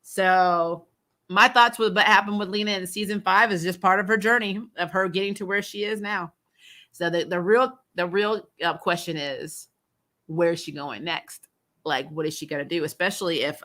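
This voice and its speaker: A woman with a bright, punchy voice that sits a little low hosts a casual recap chat. She talks off the cuff, answering viewers in a blunt, unfiltered way and swearing freely. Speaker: host of a TV recap show, reviewer.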